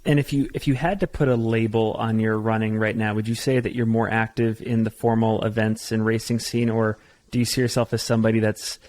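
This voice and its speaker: A man's voice: fairly low pitch, level tone, fairly quick, a little mellow. A man hosting a podcast, asking easygoing, rambling questions in a casual interview. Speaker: podcast host.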